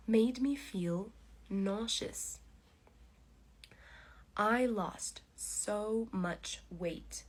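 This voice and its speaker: Very slow-speaking woman teaching English. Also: mid-range voice, husky. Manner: very slow, deliberate delivery with pauses. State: congested with a cough. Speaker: podcast host teaching English. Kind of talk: slow, clear English listening lesson.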